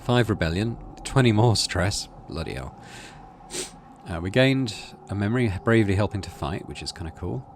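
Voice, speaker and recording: A man's voice: on the low side, lively intonation, normal speed, slight background noise. A middle-aged man hosting a gaming video, reading story text aloud and adding casual commentary. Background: game music.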